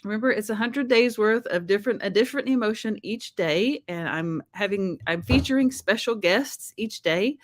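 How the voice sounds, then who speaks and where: A woman's voice: mid-range voice, moderate pace, hardly any pauses, no echo, studio quality. A woman from Oklahoma with a strong Southern accent, hosting a casual video chat in a chatty, upbeat way. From Oklahoma, USA. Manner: chatty, upbeat, laughs often. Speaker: show host.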